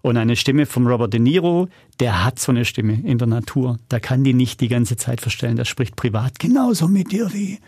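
Male interview guest, casual talk with a creaky, gravelly edge, recorded dry. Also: mid-range voice, animated, moderate pace, few pauses, darkish tone, dry recording. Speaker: podcast guest, audio drama producer.

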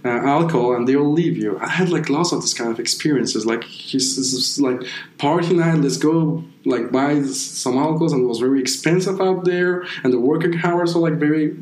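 A man's voice: on the high side, moderate pace, darkish tone. A young man from Afghanistan who is a native Persian speaker, chatting casually in an interview and filling his sentences with "like". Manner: rambling, leans heavily on "like" as a filler. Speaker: interview guest. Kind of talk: casual chat. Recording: roomy, echoey room.

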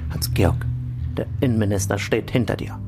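An actor playing a senior political fixer in a radio play, reassuring and confidential, with a calculating streak. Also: male voice, on the low side, normal speed, natural voice. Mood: soothing, conspiratorial. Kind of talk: radio drama acting. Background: music.

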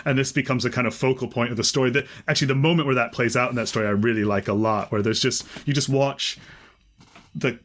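A man's voice: medium pitch, fast. A man in casual conversation about a story collection, talking with enthusiasm and lots of fillers. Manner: animated, enthusiastic, many fillers like "like".

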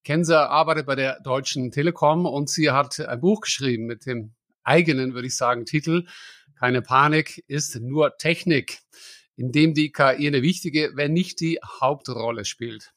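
A man's voice: medium pitch, moderate pace, a bit bright, dry recording, clean studio sound. A man hosting a podcast interview, friendly and conversational with a few hesitations. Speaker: podcast host.

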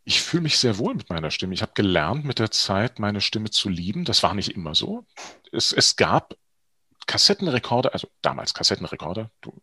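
A middle-aged man, a professional voice actor and news speaker, talking as an interview guest in a lively, expressive way with frequent hesitations. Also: lowish voice, a little fast, breathy edge, no echo, studio quality.

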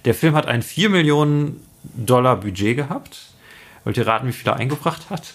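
Ein Podcast-Mann mit deutschem Akzent berichtet im Plauderton und mit vielen Pausen. Seine Stimmlage ist durchschnittlich. Er ordnet Fakten zu einem Film ein. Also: male voice, mid-range voice, animated. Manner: explains with many fillers, pauses and restarts. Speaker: podcast co-host. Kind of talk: casual film podcast chat.

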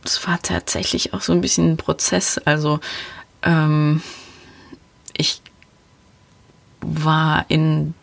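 A woman's voice: low-pitched, little pitch movement, slow, gravelly, pauses often, no room sound. A woman hosting a podcast, talking casually and thinking aloud as she answers listener questions. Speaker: podcast host.

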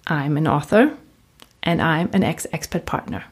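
A woman podcast host with a German accent and a slightly low-pitched voice. She is a native German speaker who works as a coach, and she talks directly to her listeners in a conversational solo presentation. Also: moderate pace, breathy edge.

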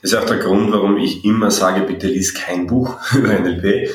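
A man who trains people in NLP, talking persuasively and directly to the listener in a relaxed conversation. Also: lowish voice, moderate pace, distant mic. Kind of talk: casual podcast conversation. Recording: roomy echo, away from mic.

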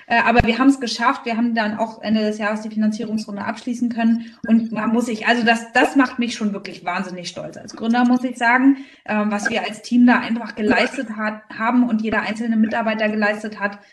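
Woman from berlin, bit high voice, emphatic interview talk, echoey and off the mic. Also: steady tone, a little fast, few pauses, a little hiss or hum. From Berlin, Germany. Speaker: startup founder being interviewed. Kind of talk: conversational interview answers. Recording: echoey room, distant microphone.

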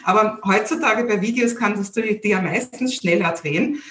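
A woman's voice: medium pitch, moderate pace. Viennese woman, echoey room. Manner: lively, opinionated, repeats words when starting sentences. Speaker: guest, learning coach and entrepreneur. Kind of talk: casual conversational interview.

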